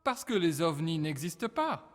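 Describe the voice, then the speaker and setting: An actor playing a bossy, mischievous young French student with a French accent in a video game. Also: male voice, on the high side, sing-song, moderate pace. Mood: teasing. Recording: long echoing reverb.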